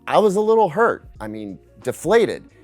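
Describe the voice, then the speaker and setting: A middle-aged American man presents a personal scripted story as a reviewer, earnest and confiding, with dramatic pauses. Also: a little high, very animated, faint music behind. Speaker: tech and speaker reviewer, video host.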